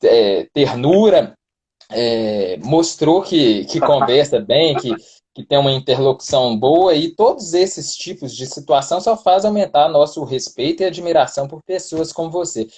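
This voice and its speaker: A man from Brazil speaks as a guest lawyer over a phone on a live stream. His voice is husky and mellow, and he rambles in a wordy, joking, self-mocking way. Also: a little high, lively intonation, moderate pace. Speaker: criminal defense lawyer, guest. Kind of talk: casual live-stream interview.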